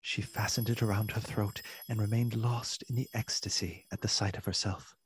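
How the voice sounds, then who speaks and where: A narrator with a husky voice, reading a literary tale as scripted narration in a radio play. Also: mid-range voice, level tone, moderate pace. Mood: measured.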